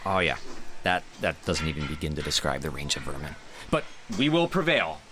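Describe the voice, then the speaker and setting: An actor playing a charming, eager, optimistic young theater dreamer in an audio drama, with a playful, glib, upbeat manner. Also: male voice, extremely low pitch, animated, brisk, background noise. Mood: cheerful, cheeky.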